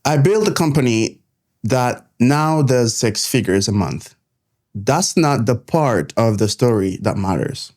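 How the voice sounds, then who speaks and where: A middle-aged man with a Haitian accent, telling his own life story to camera in an earnest, measured way, pausing between phrases. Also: medium pitch, expressive, darkish tone. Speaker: nurse turned content creator.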